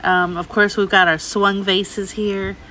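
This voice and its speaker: A woman's voice: medium pitch, level tone, a little slow, pushed and bright, a little hiss or hum, rough recording. A middle-aged woman giving casual, chatty shopping vlog commentary, gushing over the items she looks at. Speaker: host, antiques reseller. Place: inside an antique mall.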